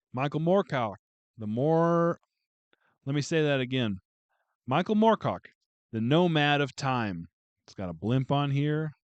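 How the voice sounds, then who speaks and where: A man's voice: medium pitch, clear, resonant tone, no room sound, highs cut off. A man casually going through a book haul on a video, chatting and joking with viewers as he reads out titles. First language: English. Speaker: booktube vlogger.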